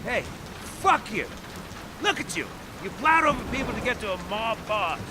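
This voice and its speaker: A man's voice: sits high, sing-song, normal speed. An actor playing a cornered, defiant male villain in a video game, throwing bitter, taunting accusations. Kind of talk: dramatic video game acting. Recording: clear rain noise behind.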